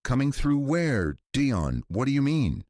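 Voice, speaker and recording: A man's voice: mid-range voice, big pitch swings, a little slow, mellow, dry recording, studio quality, pitched above the performer's own voice. A man voicing a wary, protective mother with a curt, firm way of talking in an audio drama. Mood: alarmed.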